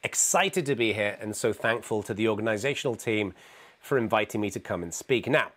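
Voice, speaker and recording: Hoarse, gravelly middle-aged man with a cold; lively, conversational keynote speaker talking from a home office. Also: lowish voice, a little fast. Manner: energetic, conversational, asks "okay?" often. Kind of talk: conference keynote presentation. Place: home office with neon sign.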